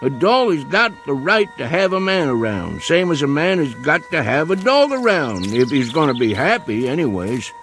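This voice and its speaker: An actor playing a stubborn, plain-spoken country hunter in a vintage TV drama, with a rural Southern American accent and homespun manner. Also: male voice, fairly high pitch, very animated, moderate pace, few pauses, fairly bright tone, soft background music. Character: stubborn, plain-spoken backwoods male coon hunter, loyal to dog. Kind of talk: vintage television drama acting.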